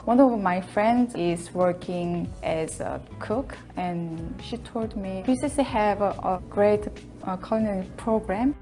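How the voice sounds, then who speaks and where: Slow-spoken Korean woman, music behind. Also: medium pitch, dark tone. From South Korea. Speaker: international student. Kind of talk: video testimonial.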